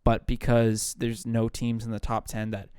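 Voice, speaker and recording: A man's voice: mid-range voice, monotone, moderate pace, a little mellow, smooth, dry recording, clean studio sound. A man co-hosting a casual fantasy football podcast, thinking aloud, hedging and backtracking as he talks. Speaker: podcast co-host. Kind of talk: casual sports chat.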